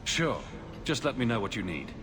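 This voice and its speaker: A man's voice: medium pitch, very animated, normal speed, reverberant, background noise, natural voice. A man playing a wry, sardonic adventurer hero in a video game.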